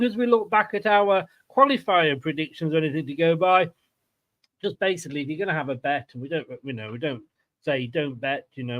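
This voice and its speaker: Male fan-channel host, high voice, informal and jokey, teasing banter for a live sports show. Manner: jokey, teasing, laughs easily. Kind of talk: casual livestream chat.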